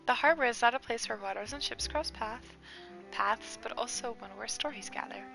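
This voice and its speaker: A woman's voice: a little high, normal speed, gravelly, music in the background, rough recording. A woman voicing a cute, earnest female sea creature while reading game dialogue aloud.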